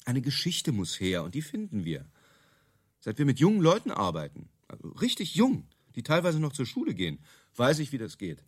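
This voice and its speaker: A brash marketing creative in a radio play. His delivery is highly animated, swinging up and down as he makes emphatic, self-assured pronouncements. He sounds opinionated and quick to dismiss objections. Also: breathy edge. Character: brash, self-assured male product-marketing creative selling gadget stories. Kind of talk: radio drama dialogue in interview form.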